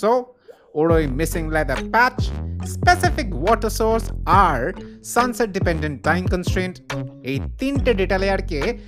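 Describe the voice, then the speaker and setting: A woman's voice: lowish voice, very animated, moderate pace, over music. A woman playing a brainy, self-assured young detective in an audio drama, lecturing didactically in Bengali mixed with English jargon. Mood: smug, analytical. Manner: didactic, jargon-laden code-switching between Bengali and English.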